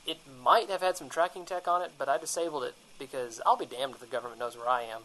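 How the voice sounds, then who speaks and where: A man's voice: medium pitch, steady tone, fast, sharp tone, natural voice, low-quality recording. A man playing a cocky, defensive, paranoid monster hunter in a comedy radio drama.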